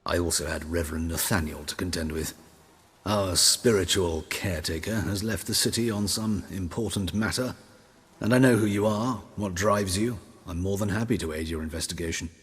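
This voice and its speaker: Low, very husky scholarly coroner, formal, sombre, wry, video game, long reverb. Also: male voice, moderate pace. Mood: courteous. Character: courteous, sombre, learned old coroner, wryly morbid. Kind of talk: video game character acting. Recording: long echoing hall reverb.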